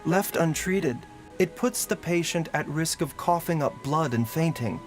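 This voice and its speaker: Calm, courteous doctor played by a man, lighter voice. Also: a little high, lightly acted. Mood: calm, reassuring. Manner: measured, formal, explanatory. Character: calm, courteous, learned young male doctor. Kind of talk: video game voice acting. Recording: game audio with music behind.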